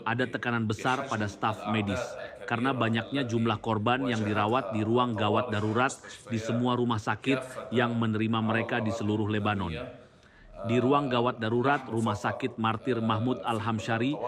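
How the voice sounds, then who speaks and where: A man's voice: on the low side, fairly even intonation, moderate pace. A man from emergency room medical staff giving a sober, factual statement for the news. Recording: loud crowd behind.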